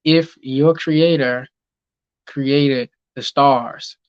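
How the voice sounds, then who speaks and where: A man's voice: medium pitch, fairly even intonation, extremely slow, clear, resonant tone, dry recording, muffled recording. A man hosting a casual teaching talk, reading viewer comments aloud and answering them, with many ums and okays. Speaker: host reading live chat.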